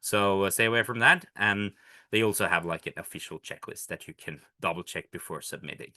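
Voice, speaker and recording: Low, bright-voiced Norwegian man explaining. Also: a little fast, no room sound, studio quality. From Norway. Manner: hesitant, many fillers and restarts. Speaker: healthcare educator. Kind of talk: casual explanatory lesson.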